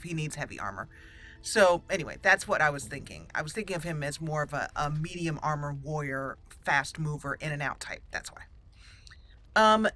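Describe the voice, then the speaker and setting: A woman's voice: deep voice, bright, forceful tone. A woman giving casual, chatty roleplay commentary on a video game, playfully thinking aloud. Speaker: gaming video host. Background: game music.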